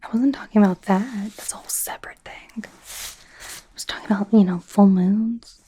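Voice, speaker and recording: A woman voicing a nervous, awkward young female werewolf medical student in a halting, breathy, mostly whispered ASMR roleplay. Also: medium pitch, mellow, natural voice. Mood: flustered, earnest. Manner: flustered, halting, apologetic, self-coaching mumbles.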